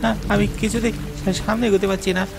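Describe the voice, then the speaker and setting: A man's voice: high-pitched, little pitch movement, a little fast, creaky, music in the background. A man voicing a frightened, superstitious village boatman for a horror cartoon, with a pleading, exclaiming manner. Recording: rain noise behind the voice. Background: falling rain.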